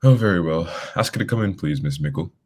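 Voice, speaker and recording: A man playing a composed, courteous gentleman detective in a radio drama, with formal, polite wording. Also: low-pitched, lively intonation, fast.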